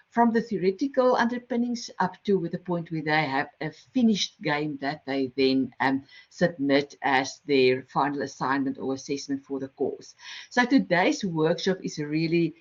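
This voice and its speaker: Middle-aged South African woman facilitator on a muffled video call, friendly and explanatory. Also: deep voice. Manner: friendly, explanatory, with frequent ums and restarts. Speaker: workshop facilitator. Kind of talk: online workshop presentation. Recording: slightly dull online call audio.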